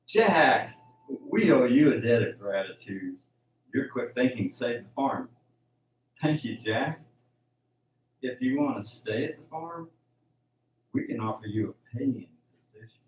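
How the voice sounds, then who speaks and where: Male actor as kindly farm boss, mid-pitched, radio play, dull roomy recording with faint hum. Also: expressive, a little slow, long pauses, natural voice. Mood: grateful. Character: grateful, genial male farm manager. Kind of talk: amateur radio drama acting. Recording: dull, echoey, distant mic with hum.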